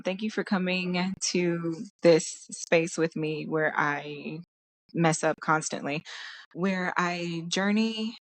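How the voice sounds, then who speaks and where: A woman hosting a casual solo podcast, her voice hoarse from crying, talking in a tired, meandering way and stopping to search for words. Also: low-pitched, a little hiss or hum, rough recording. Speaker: podcast host. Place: at home.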